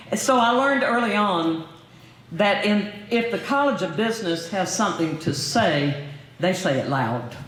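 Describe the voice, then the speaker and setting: An older woman, a former professor, giving a hall of fame acceptance speech with gentle humor and a formal finish. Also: mid-range voice, a little hiss or hum. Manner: warm, lightly humorous, formal. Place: large banquet hall. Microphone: podium microphone. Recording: roomy hall over a PA.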